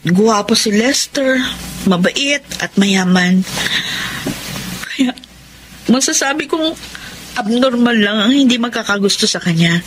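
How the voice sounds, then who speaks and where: A woman's voice: a little high, expressive, measured pace, pauses often, background noise. An actress in a Tagalog radio drama playing an earnest, self-doubting young woman torn by love, confiding and dramatic. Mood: wistful. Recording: loud music under the voice.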